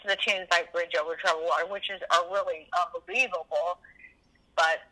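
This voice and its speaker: A woman in her seventies reminiscing as an interview guest, animated and emphatic, often rambling and repeating herself. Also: on the low side, normal speed, poor audio. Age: 70s.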